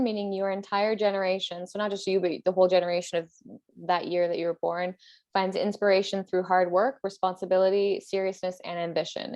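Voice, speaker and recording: A woman's voice: fairly low pitch, a little mellow, no room sound. A woman hosting a podcast in casual conversation, sometimes reading aloud. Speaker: podcast host.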